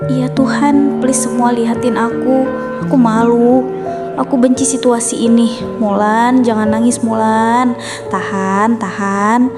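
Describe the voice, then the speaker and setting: A woman's voice: a little high, fairly even intonation, unhurried, close to an everyday voice. Mulan, a timid bullied schoolgirl voiced by a woman. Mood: ashamed. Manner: timid, whispery inner monologue. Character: shy bullied teenage schoolgirl. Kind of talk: cartoon voice acting. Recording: echoey reverb over music.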